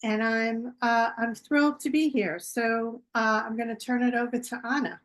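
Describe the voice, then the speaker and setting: A woman hosting an online fundraiser, introducing her group slowly with many pauses and fillers. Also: on the high side, steady tone. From United States. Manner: slow and halting, with many fillers. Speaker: team captain and event host. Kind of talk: casual introduction.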